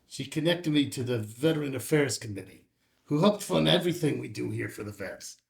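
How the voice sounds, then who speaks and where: Hesitant, kindly dad, read by a male actor, creaky voice with very mobile pitch, halting delivery. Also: expressive. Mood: moved. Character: hesitant, kindly father of a military pilot. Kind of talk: screenplay table read.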